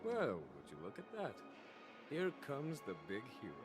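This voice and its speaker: An actor playing a genial, composed general in a game, speaking formally and courteously over music. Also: male voice, medium pitch, huge pitch swings, moderate pace. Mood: amused, hearty. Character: genial, composed male general, diplomatic and wry. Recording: music behind the voice.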